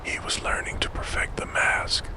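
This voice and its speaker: A man narrating a scripted story in a hushed, gentle ASMR whisper, as the host of a sleep storytelling show. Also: normal speed. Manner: hushed, gentle, soothing delivery. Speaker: host and narrator of a true crime sleep channel.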